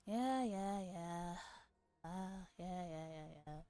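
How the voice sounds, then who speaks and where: Slow-talking, giggly young woman streamer. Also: on the low side, warm tone, no room sound, faint music behind. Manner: giggly, informal, rambling, teasing. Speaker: livestreamer. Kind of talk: casual livestream chat with viewers.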